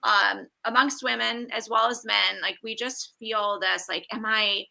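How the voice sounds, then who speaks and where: A woman's voice: mid-range voice, steady tone, a little slow, gravelly, bright, forceful tone, rough recording. A woman on a podcast, raising a discussion question in a casual, conversational style.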